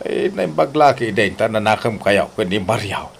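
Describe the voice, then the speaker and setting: A man's voice: fairly low pitch, big pitch swings, moderate pace, gravelly, slight background noise. A man playing a grumbling, scornful father in a comedic radio drama, exclamatory and complaining, with a Filipino Ilocano accent. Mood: grumbling, mocking.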